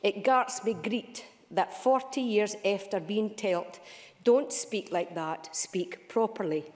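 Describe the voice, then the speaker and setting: A woman's voice: mid-range voice, takes it slowly. A Scottish woman giving a formal parliamentary speech, full of Scots words. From Scotland. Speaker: member of parliament. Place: large debating chamber. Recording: long reverb of a debating chamber.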